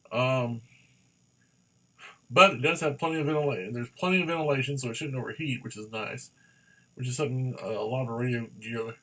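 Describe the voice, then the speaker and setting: A man reviewing a product in a casual, hands-on way, halting and rambling as he goes and often repeating himself. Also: medium pitch, fairly bright tone, rough recording.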